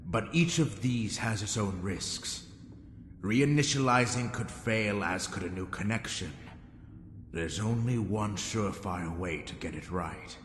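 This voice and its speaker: A man playing a grave, blunt vice admiral who speaks deliberately and formally in a sci-fi drama. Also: on the low side, slight background noise, close to an everyday voice. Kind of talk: sci-fi audio drama acting. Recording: echoey hall with long reverb.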